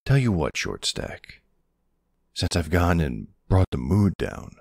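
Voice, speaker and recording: Very deep, gravelly older boyfriend played by a man. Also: very animated, no room sound, studio quality, exaggerated voice. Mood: fond, teasing. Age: middle-aged or older character. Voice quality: husky, gravelly. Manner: affectionate teasing, hesitant pauses, soft reassurance. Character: gruff, tender older ex-soldier boyfriend, protective and teasing. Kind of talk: intimate ASMR boyfriend roleplay.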